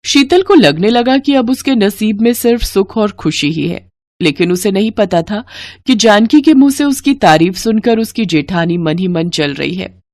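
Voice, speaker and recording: A woman's voice: medium pitch, lively intonation, normal speed, darkish tone, no room sound, studio quality. A woman storyteller reading scripted narration for a moral storybook tale.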